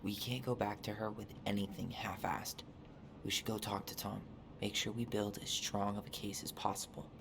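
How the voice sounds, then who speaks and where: A man's voice: fairly low pitch, little pitch movement, moderate pace. A man playing an earnest, fair-minded young man in a naturalistic video game cutscene. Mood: resolved. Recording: clearly noisy game stream, ambience.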